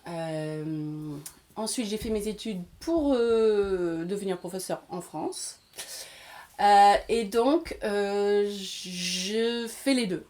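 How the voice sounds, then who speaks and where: Mellow-voiced woman in her forties. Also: mid-range voice, very slow. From Washington State, USA. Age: 40s. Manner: hesitant, many fillers, storytelling. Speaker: guest, English teacher and actress. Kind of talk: casual interview.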